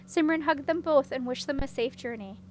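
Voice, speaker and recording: A high-pitched woman narrates a storybook from a script. There is faint noise in the recording behind her reading. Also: fairly quick. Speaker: audiobook reader.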